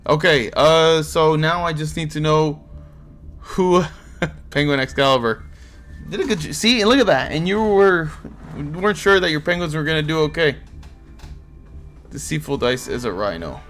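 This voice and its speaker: A man's voice: on the high side, expressive, normal speed, pauses often, a bit bright, faint music behind. A man with a Portuguese accent hosting a card game tournament on a live stream, casually chatting and answering viewers' comments. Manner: easygoing, chatty, reacts to chat.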